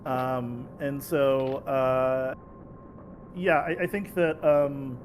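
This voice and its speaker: A man's voice: medium pitch, steady tone, drawn-out words, slight background noise. A man from California talking casually in an interview as a game publisher, rambling with many fillers and restarts over a video call.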